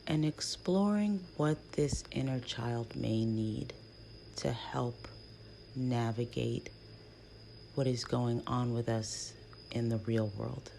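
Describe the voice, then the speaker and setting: Woman, meditation guide, very slow pace, dark soft tone, hushed and breathy, long pauses, faint noise behind. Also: extremely low pitch, low-quality recording. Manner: hushed, soothing, with long pauses between phrases.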